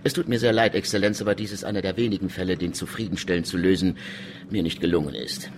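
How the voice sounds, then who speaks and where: An actor playing a formal, precise, deferential city official in a radio drama. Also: male voice, low-pitched, fairly even intonation, fast talker, background noise. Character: wiry small official, formal, dutiful, matter-of-fact investigator.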